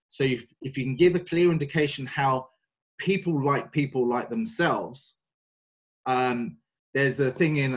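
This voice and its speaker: A man in his forties with a London English accent speaks as a podcast guest. He talks slowly and thoughtfully, pausing often and filling the gaps with "um" and "uh." His voice sits at an ordinary middle pitch. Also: poor audio. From North London, England. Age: 40s. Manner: hesitant, many fillers, thoughtful. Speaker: guest, sales and mind coach. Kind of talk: podcast interview.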